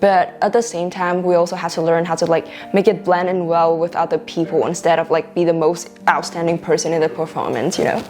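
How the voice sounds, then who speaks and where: A young woman college student with a soft voice, speaking casually in an interview. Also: fairly low pitch, steady tone, fairly quick, few pauses, soft background music. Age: young adult. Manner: casual, frequent "like" fillers.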